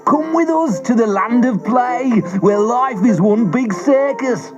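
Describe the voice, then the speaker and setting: A man voicing a naughty, excitable schoolboy with an enticing manner in a children's story. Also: extremely high pitch, takes it slowly, muffled recording, over music, pitched above the performer's own voice, full character voice. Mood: enticing excitement.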